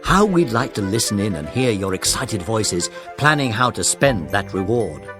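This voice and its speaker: A man's voice: on the low side, very animated, normal speed, music in the background. A man narrating a scripted children's adventure story in a dramatic, listener-friendly storytelling manner. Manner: dramatic, addresses the young listeners.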